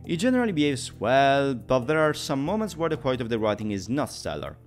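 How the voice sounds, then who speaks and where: Male reviewer, mid-pitched and very animated in intonation, analytical and opinionated, scripted narration over background music. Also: fast talker. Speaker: video game reviewer.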